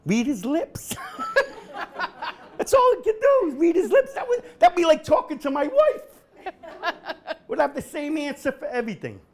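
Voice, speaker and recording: A middle-aged man doing a stand-up comedy set at an event, with a deadpan delivery that bursts into shouted singing. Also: extremely high pitch, unhurried, pauses often. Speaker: comedian host. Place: event hall with a live audience.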